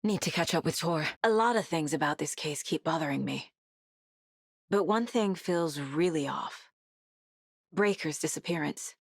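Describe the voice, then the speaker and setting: A woman voice actress playing a determined, guarded female investigator in a video game, speaking in a measured, serious, naturalistic way. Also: low voice, breathy edge, no room sound, studio quality. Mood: hushed, pondering. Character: determined, sharp female FBI investigator, guarded yet driven.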